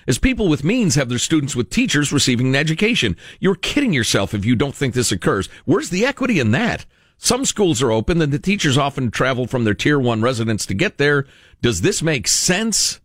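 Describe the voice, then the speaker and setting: Male American radio host, lively and varied pitch, emphatic deliberate read, close dry studio sound. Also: no room sound. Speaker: radio talk show host. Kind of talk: reading a letter aloud with conversational commentary.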